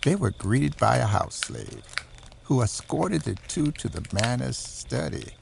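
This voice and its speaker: A male narrator tells a scripted horror history in a heavily creaky voice. His pitch moves around in a lively way, and there is clear noise in the recording. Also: unhurried. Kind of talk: scripted documentary-style narration of a horror story.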